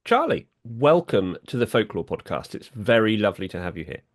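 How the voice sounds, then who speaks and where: A man's voice: lowish voice, very animated, a little fast. A man hosting a podcast, introducing and interviewing a guest. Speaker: podcast host, folklore researcher.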